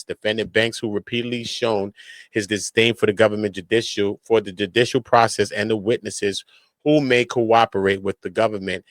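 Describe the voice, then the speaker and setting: A man's voice: lowish voice, fairly bright tone, no room sound, rough recording. An American man doing casual street-slang commentary on criminal cases, speaking in an emphatic, repetitive, slangy way. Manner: repetitive verbal tags, emphatic slangy delivery. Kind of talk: casual street-slang commentary, reading documents aloud at times.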